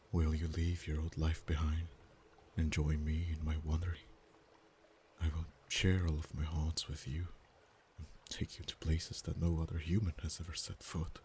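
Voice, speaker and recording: A man voicing a proud, haughty male dragon in an ASMR romance roleplay, speaking softly with whispered and stammering stretches. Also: very deep voice, slight background noise. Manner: soft-spoken, partly whispered, halting stammers.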